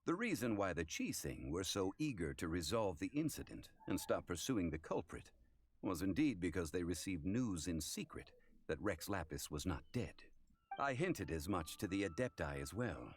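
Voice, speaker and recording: A wry ancient god, deep and measured. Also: male voice, big pitch swings, slightly rough, dry recording, heavily acted. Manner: measured, formal, dryly amused. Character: ancient male god in human guise, composed, wry, scholarly. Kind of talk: game voice acting.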